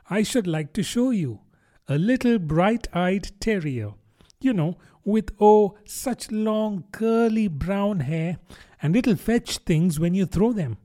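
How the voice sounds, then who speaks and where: A man's voice: sits high, darkish tone, pitched above the performer's own voice. A man voicing a polite, curious young girl in a storybook, earnest and chatty. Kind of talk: storybook character voice.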